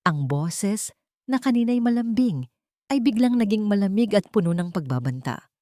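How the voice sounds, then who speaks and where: A woman's voice: mid-range voice, big pitch swings, normal speed, dark tone, no room sound, studio quality. A woman storyteller reading a scripted, dramatic tale as narration. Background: music.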